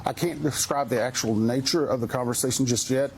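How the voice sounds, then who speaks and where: Male official at press briefing, measured, mid-pitched, slight ambient noise. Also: darkish tone, rough recording. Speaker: safety investigation official.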